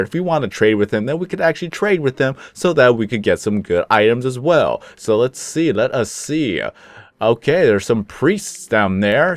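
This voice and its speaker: Deep-voiced young man, slightly congested. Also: no echo. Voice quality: deep low-toned voice. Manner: rambling, easygoing, chuckles at himself. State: slightly sick with phlegm. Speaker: gaming channel host. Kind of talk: casual gameplay commentary.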